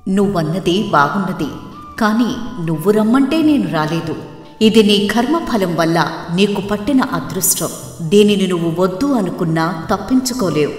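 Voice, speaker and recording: A woman's voice: mid-range voice, lively intonation, moderate pace, roomy sound, big-room sound, lightly acted. A woman voicing a regal, commanding goddess of wealth in a cartoon story, stern but gracious. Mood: firm, lofty. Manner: commanding, lofty, declarative. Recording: music under the voice.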